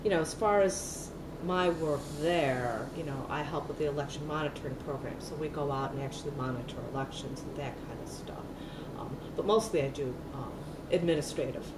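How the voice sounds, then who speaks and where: A woman's voice: sits low, fairly quick, few pauses. A middle-aged woman giving an oral history interview, talking loosely with many fillers and restarts. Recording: echoey room, mic at a distance, noisy.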